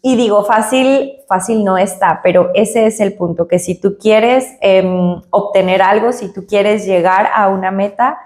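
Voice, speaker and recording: A woman with a mid-range voice lays out her advice in clear, numbered steps, leaning on comparisons to make her points. She often tags her sentences with a little question to keep the listener with her. The sound is roomy and echoing. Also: mid-range voice, unhurried, hardly any pauses, a little mellow. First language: Spanish. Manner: explains with analogies, frequent tag questions. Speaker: company director. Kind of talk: interview answers.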